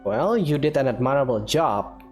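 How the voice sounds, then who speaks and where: A woman's voice: extremely low pitch, animated, normal speed, a little mellow. A woman gameplay streamer talking casually, reacting in short remarks as she plays. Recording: game music behind the voice.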